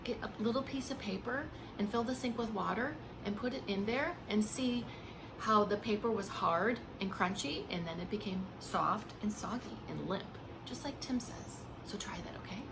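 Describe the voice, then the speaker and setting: A woman with a medium-pitched voice reads a children's story aloud in the manner of a preschool teacher. She tells it expressively, dropping into hushed emphasis and speaking simply and directly to little listeners. There is clear background noise on the recording. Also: normal speed. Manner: simple explanations and questions addressed to small children. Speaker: pre-K teacher. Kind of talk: read-aloud of a children's picture book with chatty asides to young listeners.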